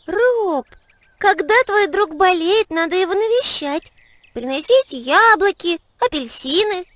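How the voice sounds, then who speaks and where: Very high-pitched little cartoon girl. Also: animated, a little slow, fairly bright tone, dry recording, heavily acted. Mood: caring, instructive. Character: cheerful caring little girl heroine of a children's cartoon. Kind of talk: animated children's educational cartoon acting.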